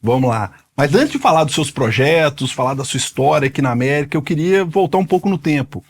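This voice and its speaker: A man's voice: on the high side, animated, fairly quick. A man in his forties hosting a podcast interview, introducing his guest and asking questions with emphatic enthusiasm. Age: 40s. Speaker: podcast host.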